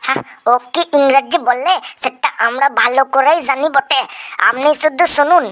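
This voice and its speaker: Woman voicing a cheeky high-pitched cartoon schoolboy. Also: moderate pace, background noise, natural voice. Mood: complaining. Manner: hesitant answers, whining protests. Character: cheeky, dim, argumentative schoolboy. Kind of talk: comedy cartoon dialogue.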